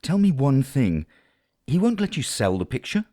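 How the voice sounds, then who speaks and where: Casting: compassionate young gentleman, read by a female narrator, very low and mellow, earnest, measured, for literary audiobook. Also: sing-song. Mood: urgent, earnest.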